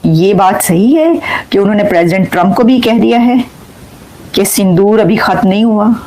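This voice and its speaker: Lady news presenter talking current affairs, normal mid pitch. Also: moderate pace, a little mellow, poor audio. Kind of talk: news discussion.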